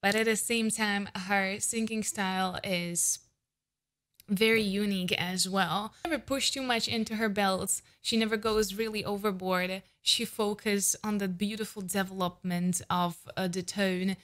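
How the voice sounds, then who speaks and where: A woman in her thirties, a vocal coach, reacting to a song in an excited, gushing way with lots of "uh" fillers, speaking English as a non-native speaker, close to a studio mic at home. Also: mid-range voice, a little slow. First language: not English. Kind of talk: casual reaction commentary. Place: home room with shelves, indoors. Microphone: large studio condenser mic close up.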